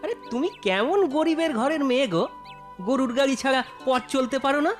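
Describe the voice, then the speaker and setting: A man's voice: extremely high pitch, expressive, a little fast, soft background music. A man playing an ambitious young Bengali village husband in a cartoon, stubborn and insistent. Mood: impatient. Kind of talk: cartoon voice acting.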